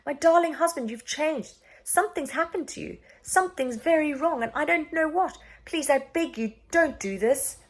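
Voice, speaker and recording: A woman's voice: high voice, animated, normal speed, few pauses, pitched above the performer's own voice. A woman voicing a proud, distraught queen in a children's story, spluttering and imploring with emphasis. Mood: pleading. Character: distraught proud queen accused of treason, pleading.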